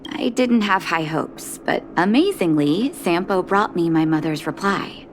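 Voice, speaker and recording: A woman playing a gentle, caring doctor in a video game, soft-spoken and measured, with a reflective, wistful manner. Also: on the low side, lively intonation, slight background noise. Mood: composed, hopeful. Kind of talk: video game dialogue voice acting.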